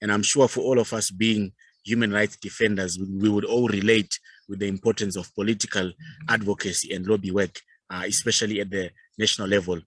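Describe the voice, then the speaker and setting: A man with a Zimbabwean accent giving a formal presentation as a youth organization leader, speaking in a measured, formal way with pauses. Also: on the low side, steady tone, a bit bright, husky, no room sound.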